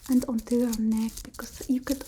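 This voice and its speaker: A woman's voice: on the high side, level tone, normal speed, warm tone, a little hiss or hum, natural voice. A woman voicing a haughty, fussy but doting vampire mistress in a whispered ASMR roleplay, with a put-on Eastern European accent and an imperious, teasing murmur. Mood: fussing, gentle.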